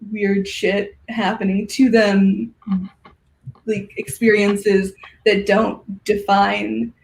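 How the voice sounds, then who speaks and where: An interview guest talking casually and thoughtfully, in a halting way, with a roomy echo. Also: medium pitch, vocal fry. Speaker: guest, organizer of a fiction podcast and symposium. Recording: roomy, echoey sound.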